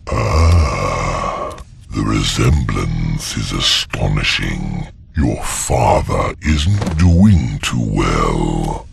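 An actor playing an arrogant, domineering ancient god in a video game, slow and pompous in delivery, with a very deep gravelly voice. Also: male voice, sing-song, slight background noise, heavily acted. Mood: smug, menacing. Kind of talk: cinematic game acting.

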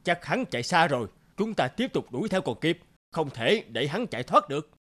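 An actor voicing a bossy, menacing bandit leader in an animated fairy tale, commanding and dramatic. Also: male voice, fairly high pitch, fairly quick. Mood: assured, commanding.

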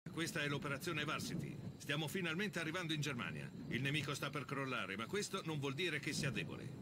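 An actor playing a stern, confident army officer giving a firm, authoritative mission briefing. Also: male voice, fairly high pitch, animated, fairly quick, very noisy, natural voice.

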